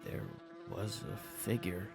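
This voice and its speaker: A man narrating a scripted first-person horror story as its storyteller, in slow, halting phrases with dramatic pauses. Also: on the low side, steady tone, gravelly. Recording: voice over prominent background music. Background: loud game soundtrack music.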